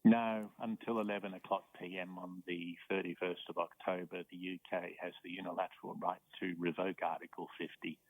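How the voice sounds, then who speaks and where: A man with an Australian accent, a university professor giving measured explanations as a guest in a radio interview, often repeating words as he starts a point. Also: low voice, flat delivery, no room sound, rough recording.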